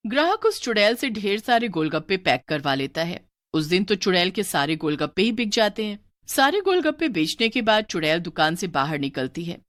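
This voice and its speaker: A woman narrating a scripted horror story in a steady storybook manner. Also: mid-range voice, normal speed, hardly any pauses, dry recording, clean studio sound.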